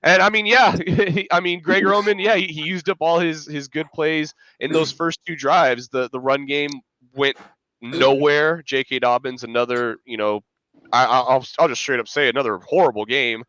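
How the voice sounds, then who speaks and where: A man's voice: fairly high pitch, steady tone, moderate pace, pushed and bright, no room sound, muffled recording. Congested man rambling about football. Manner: rambling, filler-heavy, restarts often. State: congested from a cold. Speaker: podcast co-host, fan analyst. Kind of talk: casual sports podcast chat.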